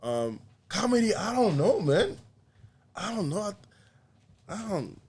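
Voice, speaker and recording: A young man talking casually as a podcast guest, in a relaxed, rambling way with frequent laughs. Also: sits high, unhurried, husky. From USA. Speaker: podcast guest, artist and comedian. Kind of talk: casual conversation.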